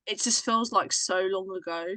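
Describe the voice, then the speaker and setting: A woman's voice: mid-range voice, normal speed, bright, forceful tone, no echo. A woman loosely hosting a casual group chat, reacting and steering the conversation.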